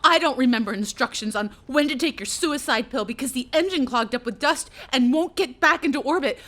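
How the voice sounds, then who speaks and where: A woman's voice: high-pitched, fairly quick, fairly bright tone. An actress playing a stranded astronaut and mother, scared, bitter and blunt, in a naturalistic audio drama. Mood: angry, desperate. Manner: blunt, sweary, sardonic.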